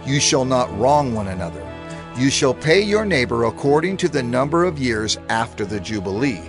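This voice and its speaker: Man declaiming scripture, lively pitch. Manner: measured and declamatory. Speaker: scripture reader. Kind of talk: dramatized scripture reading. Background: music.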